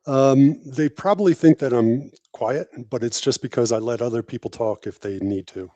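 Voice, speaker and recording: A man's voice: medium pitch, moderate pace, mellow, dry recording, muffled recording. A man giving conversational interview answers, explaining things plainly in short declarative phrases. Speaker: podcast guest, technology professional and army veteran.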